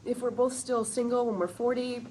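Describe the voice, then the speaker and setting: A woman's voice: on the high side, steady tone, normal speed, mellow, natural voice. A woman playing a wry, self-deprecating TV news correspondent, reading a comedy script aloud. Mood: wistful. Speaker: actor at a sitcom table read. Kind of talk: comedic script read aloud before an audience. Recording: noisy live room.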